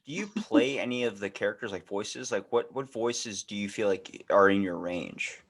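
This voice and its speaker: A man's voice: lowish voice, animated, moderate pace, a bit bright, husky. A man hosting a podcast, chatting casually and slangily with his guest. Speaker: podcast host.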